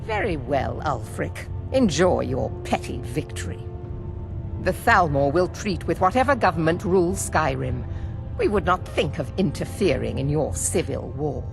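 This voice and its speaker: An actress playing a cold, haughty elven ambassador, imperious and formal, in a fantasy game. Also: female voice, low voice, very animated, moderate pace, creaky, exaggerated voice. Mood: icy, condescending. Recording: prominent game music behind.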